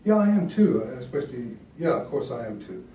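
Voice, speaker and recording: A middle-aged man from Wales hosting a casual rugby chat in a small studio, relaxed and chatty, often repeating words as he thinks. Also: mid-range voice, brisk, recorded from a distance, lo-fi sound, slight background noise. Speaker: host. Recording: roomy studio sound, mic set back.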